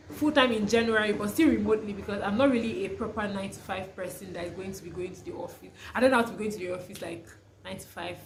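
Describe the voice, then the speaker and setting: A woman's voice: mid-range voice, a little hiss or hum. A young Nigerian woman with a Nigerian accent, talking casually to camera in a vlog, often restarting her sentences. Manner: chatty, restarts often, laughs through stories. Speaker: vlogger, freelancer.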